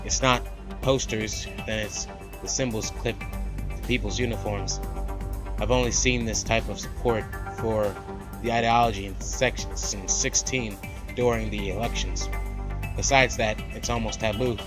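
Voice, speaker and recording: A man hosting a let's play, reading a visual novel aloud with animated, slangy casual asides. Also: fairly low pitch, a little slow. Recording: loud background music. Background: game music.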